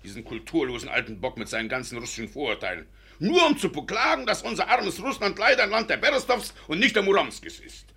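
A pompous Anglophile country squire and doting father for a radio play. His voice is gravelly and bright, with very animated swoops of pitch. He is effusive and easily indignant. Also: quick pace, exaggerated voice. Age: middle-aged. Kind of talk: German radio play acting.

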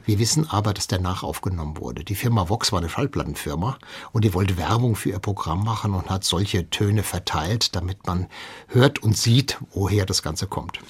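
A man's voice: low voice, moderate pace, breathy edge. A man speaking as an expert guest in an interview, explaining history in an anecdotal way.

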